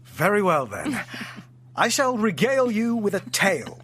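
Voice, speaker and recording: A man's voice: sits high, big pitch swings, unhurried, fairly bright tone, slightly rough, heavily acted. An actor playing an erudite, teasing man with formal, playfully theatrical speech in an audio drama. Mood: grand storytelling. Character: erudite, teasing man, fond host and storyteller. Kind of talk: naturalistic audio drama dialogue.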